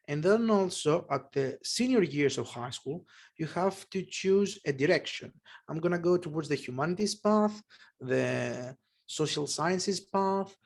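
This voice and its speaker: A man from Greece with a Greek accent, speaking English as a second language in an interview, thinking aloud with many fillers and restarts. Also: a little high. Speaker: guest, polymathy researcher. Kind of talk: conversational interview.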